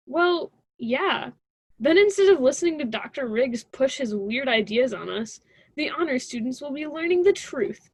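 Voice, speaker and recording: A woman's voice: high-pitched, animated, normal speed, breathy edge, no echo, exaggerated voice. A young woman playing a stubborn, sarcastic college student in a radio drama, with a dismissive conversational delivery. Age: young adult.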